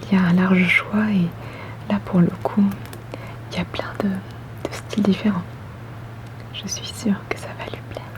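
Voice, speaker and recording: A woman whispering softly and breathily in an ASMR roleplay as a polite, attentive boutique saleswoman, gentle and unhurried. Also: mid-range voice, little pitch movement. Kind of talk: ASMR roleplay, customer service.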